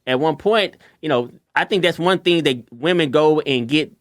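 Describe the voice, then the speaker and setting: A man with a light voice chatting casually on a podcast, animated and quick to mimic others. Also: dry recording, clean studio sound. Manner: animated, shifts into high mocking voices when quoting others. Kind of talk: casual chat.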